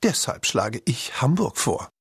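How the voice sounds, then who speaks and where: A man voicing a bored, haughty female cat singer in a storybook reading, high and drawn out. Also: big pitch swings, measured pace, slightly rough, dry recording, clean studio sound, heavily acted.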